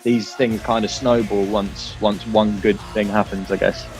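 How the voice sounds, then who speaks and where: Bloke in a band, rambly casual interview chat, bit of music behind him. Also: male voice, lowish voice, level tone, a little slow, darkish tone, low-quality recording.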